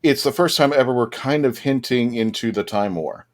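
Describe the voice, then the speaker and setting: A man with a medium-pitched voice talks as the host of a casual fan panel. He is enthusiastic and tells stories in a halting, conversational way.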